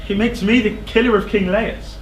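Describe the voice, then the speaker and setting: A man's voice: high-pitched, fairly even intonation, moderate pace, close to an everyday voice. A young man playing a proud, suspicious, hot-tempered king in a stage tragedy, with declamatory, indignant delivery. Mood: aggrieved. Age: young adult. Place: theatre stage. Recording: echoey theatre with background noise.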